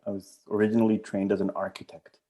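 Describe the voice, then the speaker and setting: A man's voice: fairly low pitch, flat delivery, quick pace, warm tone. A middle-aged man from Colombia, a professor answering interview questions in a hesitant, thoughtful way with many restarts. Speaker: archaeology professor, guest.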